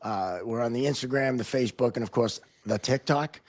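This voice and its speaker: Amerikanischer Podcast-Moderator, mittlere Stimmlage. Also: male voice, medium pitch, a little fast. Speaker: podcast host, hockey referee. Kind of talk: casual podcast interview.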